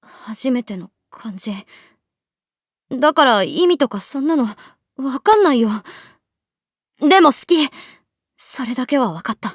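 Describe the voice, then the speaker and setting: A woman's voice: sits high, expressive, unhurried, pauses often, no room sound, clean studio sound. An actress playing a vulnerable, earnest teenage schoolgirl in a Japanese audio drama, with a hesitant, emotionally raw delivery. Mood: earnest, overwhelmed. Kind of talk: Japanese anime-style audio drama acting.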